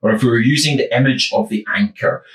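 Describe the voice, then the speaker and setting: A man from the west of Scotland with a West of Scotland accent, giving a gentle, reflective devotional talk with many small ums and uhs. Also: medium pitch, fast talker, roomy sound, distant mic. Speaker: church minister.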